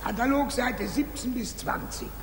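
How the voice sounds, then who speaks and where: An actor playing a formal, droning museum tour guide in a 1950s radio drama, announcing in an echoing hall. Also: male voice, very high-pitched, normal speed, a bit bright. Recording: echoey hall, faint noise.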